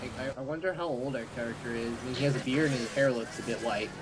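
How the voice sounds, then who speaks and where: A man with a medium-pitched voice co-hosts an amateur let's-play. He moves between reading the game's text and casual, joking commentary. There is clear noise in the home recording. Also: fast.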